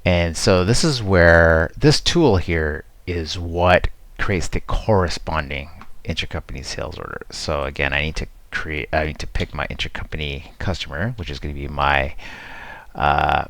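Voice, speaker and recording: Deep-voiced man presenting a software demo. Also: moderate pace, no room sound. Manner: explanatory, conversational, checks in with "right?". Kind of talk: informal screen-share tutorial narration.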